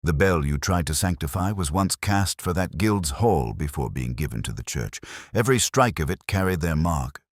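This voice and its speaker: Guy reading as a shrewd victorian detective, super low voice, grave n commanding, audiobook style, dry. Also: lively intonation, moderate pace, hardly any pauses, dry recording. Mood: pressing. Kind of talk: audiobook character dialogue.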